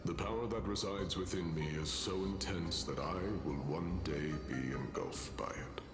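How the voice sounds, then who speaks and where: Very deep gravelly doomed warrior. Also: male voice. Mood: solemn, resolute. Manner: slow, formal, archaic phrasing. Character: valorous doomed male warrior demigod, solemn and resolute. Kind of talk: dramatic video game voice acting. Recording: roomy reverb under music.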